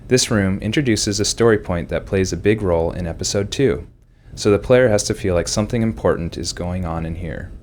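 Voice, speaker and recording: A man with a very deep voice delivers scripted commentary as a game developer. Some noise sits behind his voice. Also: fairly quick, hardly any pauses, darkish tone.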